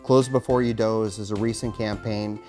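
A man's voice: on the low side, steady tone, normal speed, a little mellow, soft background music. A middle-aged man with an American accent, a fire chief reading a children's storybook aloud and talking to kids. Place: indoors in front of a curtain backdrop, studio-like setup.

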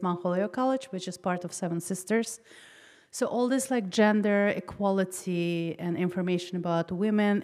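A woman's voice: lowish voice, a little mellow. A young woman from Kazakhstan speaking earnestly and talkatively off the cuff into a handheld microphone from the audience. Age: young adult. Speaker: audience member asking a question. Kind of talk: impromptu, personal audience question. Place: large hall, seated in the audience. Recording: reverberant hall, handheld mic.

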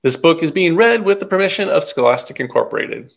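A middle-aged man reading a children's story aloud in an expressive, deliberate way. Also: a little high, creaky. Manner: animated, deliberate. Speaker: picture book reader.

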